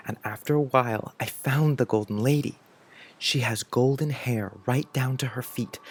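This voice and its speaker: A man plays an imaginative young schoolboy in an audiobook drama. He tells his fanciful letter with earnest, wide-eyed wonder at a medium pitch, with soft music behind him. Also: close to an everyday voice. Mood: wistful, confiding.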